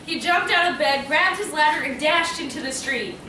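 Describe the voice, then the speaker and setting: A woman's voice: high voice, normal speed. A woman narrating a scripted children's story. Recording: echoey room, distant mic, noisy.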